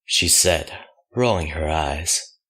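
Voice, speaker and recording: A man's voice: rumbling low, takes it slowly, sharp tone, husky. A man narrating a fan fiction audiobook from a script.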